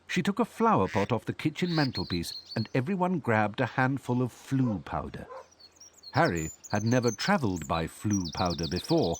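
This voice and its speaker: A man's voice: mid-range voice, big pitch swings, normal speed, a little hiss or hum, close to an everyday voice. A man reading scripted storybook narration for a fantasy video game. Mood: storytelling. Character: male storyteller narrating a boy wizard's adventure.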